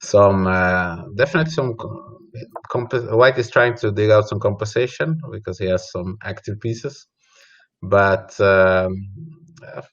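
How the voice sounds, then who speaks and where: A man's voice: lowish voice, unhurried, fairly bright tone. Slightly muffled man analysing chess. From Sweden, originally Colombia. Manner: hesitant, thinking aloud as he analyses. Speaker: guest chess commentator, grandmaster. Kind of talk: live chess commentary, conversational analysis. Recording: slightly dull, lo-fi audio.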